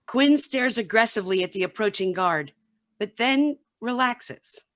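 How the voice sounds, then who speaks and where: A woman's voice: a little high, lively intonation, unhurried, a bit bright. A woman reading scripted narration and stage directions for a radio play.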